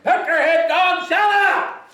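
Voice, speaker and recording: A man's voice: extremely high pitch, fairly even intonation, slow, pushed and bright, full character voice. A man playing a cantankerous, foul-mouthed Canadian bait shop owner in a comedic table read. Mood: irritated. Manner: profane, cantankerous, barking insults. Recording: echoey room, distant from mic.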